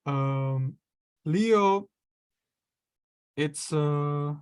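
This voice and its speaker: A man, an astrologer guest, explaining ideas in a relaxed conversational way, sometimes acting out inner voices. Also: on the high side, extremely slow, clear, resonant tone. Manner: explains with acted-out inner voices and light laughs.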